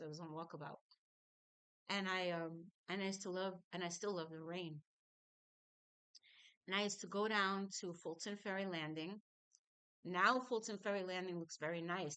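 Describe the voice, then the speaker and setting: A middle-aged woman from New York telling her childhood story, halting and reflective, with frequent fillers. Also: lowish voice, a bit bright, clean tone, no echo. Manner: reflective, halting with fillers, laughs through painful memories. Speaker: singer. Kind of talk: personal storytelling.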